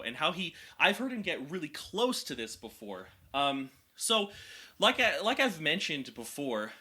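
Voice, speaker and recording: This young man's voice is very bright and sits higher than most men's. He talks in an energetic, chatty way as a vocal coach, going into technical detail on how the voice works. His pitch is moderate for a man. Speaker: vocal coach reacting to music. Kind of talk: casual reaction and explanation.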